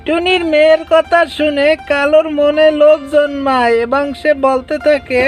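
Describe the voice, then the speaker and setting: Female storybook narrator, high voice, scripted children's tale, music underneath. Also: steady tone, a little slow.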